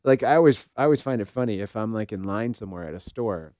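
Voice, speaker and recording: Smooth, low-voiced man co-hosting a podcast. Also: expressive, fast, a little mellow, dry recording, studio quality. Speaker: podcast host. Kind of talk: casual conversational discussion.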